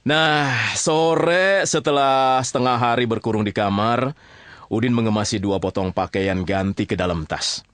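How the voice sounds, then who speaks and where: An easygoing male storyteller played by a man tells a tale among friends in a radio-play manner. He has a Jakarta accent and an average pitch, teasing and conversational, recorded very dry. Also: unhurried, a bit bright, dry recording, close to an everyday voice. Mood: easygoing narrating. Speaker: storyteller narrator.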